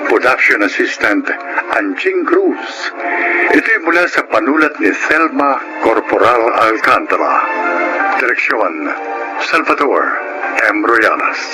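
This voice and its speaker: A man's voice: mid-range voice, very animated, slow, poor audio. A man announcing scripted credits and story introductions for a radio drama. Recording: music behind the voice.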